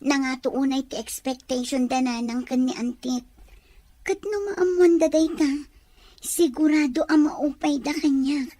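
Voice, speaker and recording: A woman's voice: high-pitched, a little slow, warm tone, no room sound. A woman playing an anxious young student under family pressure in an Ilocano audio drama. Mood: worried.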